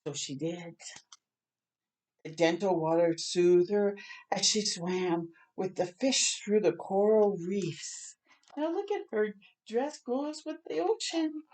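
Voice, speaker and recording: A woman reading a children's picture book aloud expressively, pausing to chat and ask young listeners questions. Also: mid-range voice. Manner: animated, asks the listeners questions.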